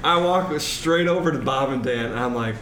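A young man tells a self-mocking story and laughs at himself often. His voice sits at a middle pitch. The room is echoey, with faint noise behind him. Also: normal speed, fairly bright tone. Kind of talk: casual storytelling.

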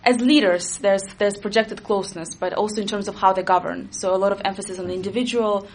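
A woman's voice: medium pitch, fairly even intonation, slight background noise, poor audio. A young woman, a native Russian speaker who grew up in Latvia, answering interview questions as an expert, thinking aloud with fillers and restarts. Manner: quick, analytical, with frequent fillers and restarts.

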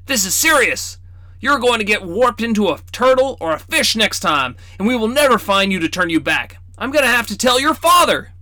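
A man's voice: sits high, sing-song, moderate pace, sharp tone, dry recording, voice pushed up, exaggerated voice. A man voicing a stern but fond old grandfather wizard who scolds his grandchildren and explains a game. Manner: scolding, then teasing.

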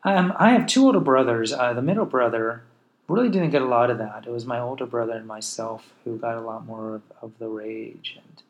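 A man's voice: medium pitch, fairly quick, highs cut off. A man in his forties, a podcast host, candidly telling his own life story in a wry, self-deprecating way. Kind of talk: personal storytelling monologue.

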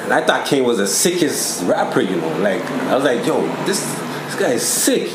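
A man's voice: mid-range voice, big pitch swings, normal speed. A man from Toronto, a guest rapper, telling his story in a laid-back, slangy way with lots of fillers. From Toronto, Canada. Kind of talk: casual interview chat. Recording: roomy with faint background noise.

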